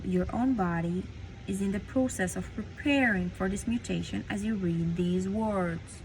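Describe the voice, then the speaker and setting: A woman's voice: mid-range voice, slight background noise, poor audio. Latvian woman reading aloud at midnight. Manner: dreamy, emphatic, rambling commentary between read passages. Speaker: spiritual book reader.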